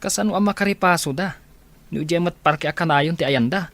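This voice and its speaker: An actor playing a concerned, questioning young friend in an Ilocano radio drama, with an Ilocano accent. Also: male voice, fairly high pitch, fairly quick, fairly bright tone. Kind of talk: Filipino radio drama acting.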